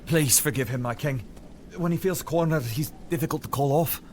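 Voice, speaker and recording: An actor playing a loyal, protective young knight in an audio drama, placating in manner. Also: male voice, a little high, normal speed, a little mellow, slight background noise, natural voice.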